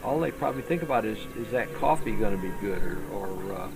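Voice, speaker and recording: A man in his seventies, a sheep farmer, talking slowly with many pauses in an interview. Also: lowish voice, lively intonation, creaky, poor audio. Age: 70s. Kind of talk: casual interview. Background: music.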